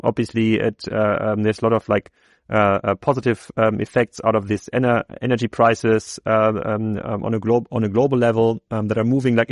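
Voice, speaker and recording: A man hosting an interview podcast, speaking English that is not his first language. He talks haltingly, often stopping to search for words. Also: mid-range voice, dry recording, studio quality. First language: German. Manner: halting, many fillers and restarts, searching for words. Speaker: podcast host.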